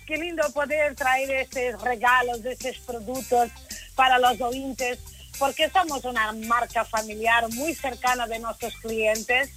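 Bright, high-voiced effusive Portuguese woman. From Lisbon, Portugal. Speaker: mattress brand spokesperson promoting a giveaway. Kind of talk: live radio promotion.

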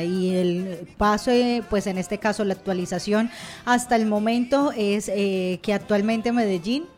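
A Colombian woman presents live radio with a medium-pitched female voice. Her delivery is halting and hesitant, with stretched pauses as she relays news. Music plays faintly behind her. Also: darkish tone. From Colombia, Cali. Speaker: radio show host.

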